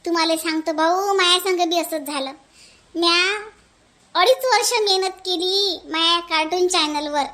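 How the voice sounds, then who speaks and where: Brief: very high, chirpy cartoon village auntie from Vidarbha, voiced by a man, folksy, tags "हं?" onto lines, never gives up. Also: bright, forceful tone. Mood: determined. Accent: Varhadi Marathi. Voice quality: folksy, chatty, adds "हं?" tag questions. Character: cheerful middle-aged village woman who never gives up. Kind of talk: cartoon voice acting.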